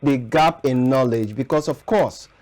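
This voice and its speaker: A Nigerian man hosting a broadcast interview, putting questions in measured, formal and wordy phrasing. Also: medium pitch, darkish tone. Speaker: interviewer, show host.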